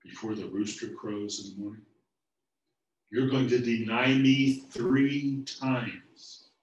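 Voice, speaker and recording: A gentle, calm teacher speaks softly and steadily, as a man puts him on. The voice is quiet and low-key, and it carries room echo from a mic at a distance. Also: slow, highs cut off, natural voice.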